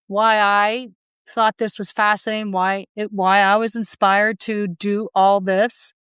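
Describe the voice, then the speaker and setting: A woman's voice: medium pitch, little pitch movement, measured pace, fairly bright tone, clean tone, dry recording. A woman, a past life hypnotherapist, answering interview questions in a rambling, conversational way with frequent restarts and self-corrections.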